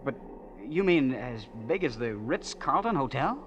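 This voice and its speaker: A man's voice: medium pitch, expressive, unhurried, a crowd in the background, natural voice. An actor playing a naive, eager young man from Missouri who stammers and talks in a folksy way, in 1940s radio acting. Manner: stammering, wide-eyed, folksy. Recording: dull old radio recording with music.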